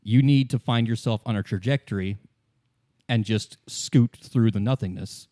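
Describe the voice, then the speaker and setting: A man narrating as game master, explaining fictional lore in a measured, solemn and deliberate storytelling manner. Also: on the low side, dark tone, dry recording, clean studio sound. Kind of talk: improvised lore exposition in a tabletop game.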